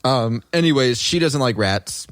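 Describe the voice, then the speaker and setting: A man's voice: mid-range voice, lively intonation, measured pace, a bit bright, no room sound, studio quality. A man co-hosting a podcast in laid-back casual chat, rambling through stories and often saying "like". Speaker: podcast co-host.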